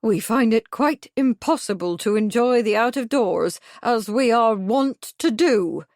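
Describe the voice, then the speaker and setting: A woman voicing an imperious elderly spinster with a high, shrill voice, haughty and indignant. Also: lively intonation, measured pace, darkish tone, dry recording, clean studio sound. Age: older.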